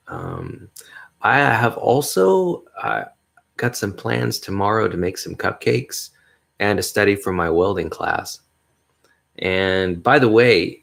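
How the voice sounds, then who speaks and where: A man hosting a solo podcast, talking in a mellow bass voice, upbeat and rambling, with frequent chuckles. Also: gravelly. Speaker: podcast host. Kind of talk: casual solo podcast monologue.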